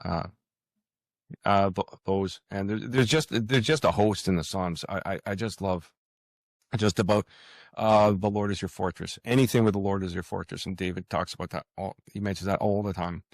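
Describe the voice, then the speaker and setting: An older man speaking as an interview guest author, earnest and halting, often stammering and repeating words. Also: deep voice, fairly even intonation, dry recording, clean studio sound.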